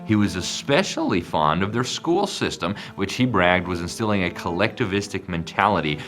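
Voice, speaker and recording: A man's voice: deep voice, animated, normal speed, a bit bright. A man giving an assertive documentary interview, explaining history. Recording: music bed underneath.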